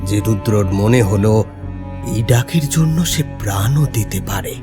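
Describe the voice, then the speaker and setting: A man's voice: fairly low pitch, measured pace, music in the background. A man narrating a scripted horror story in a dramatic, suspenseful way. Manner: suspenseful, expressive.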